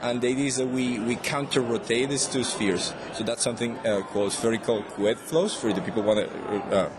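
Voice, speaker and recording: A man's voice: mid-range voice, steady tone, fairly quick, background noise. A young man from Venezuela with a Venezuelan Spanish accent, a PhD student explaining his research in an interview, hesitant with many restarts and fillers.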